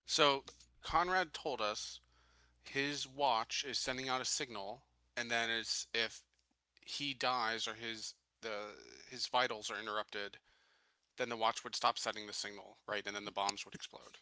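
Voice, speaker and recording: A man's voice: mid-range voice, fairly even intonation, measured pace, sharp tone, no echo. A man talking casually as a player in a tabletop roleplaying game, explaining tactics.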